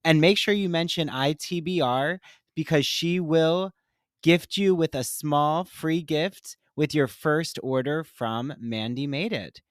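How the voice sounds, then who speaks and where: Woman, 30s, podcast co-host; low, gushing sponsor-read delivery, close and dry. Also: slow, no room sound. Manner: gushing, animated, with theatrical gasps. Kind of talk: casual chat with sponsor reads.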